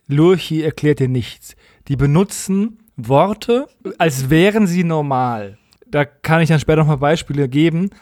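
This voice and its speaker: Wry man, slightly high voice. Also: a little mellow, no room sound, studio quality. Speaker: podcast co-host. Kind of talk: casual review chat.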